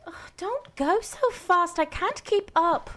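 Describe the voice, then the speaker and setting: A woman's voice: extremely high pitch, animated, takes it slowly, fairly bright tone, full character voice. A female performer playing a curious, innocent little girl in a vintage-style radio drama. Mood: plaintive.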